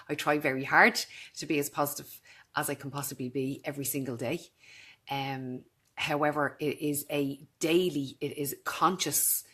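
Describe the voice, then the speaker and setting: A woman in her thirties with a naturally low voice, talking earnestly and directly to her camera in a vlog. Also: a bit bright. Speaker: vlogger. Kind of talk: casual talk to camera.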